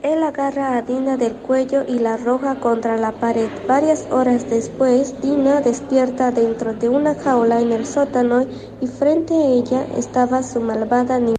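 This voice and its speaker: A woman's voice: sits high, steady tone, normal speed, a crowd in the background. A teenage girl reading a scripted horror story as a student narrator. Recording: noisy home recording.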